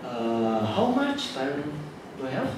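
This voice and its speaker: A man with an Italian accent, a native Italian speaker, giving a classroom lecture in a halting way with many fillers and restarts. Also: medium pitch, huge pitch swings. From Italy. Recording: echoey hall from far off with noise.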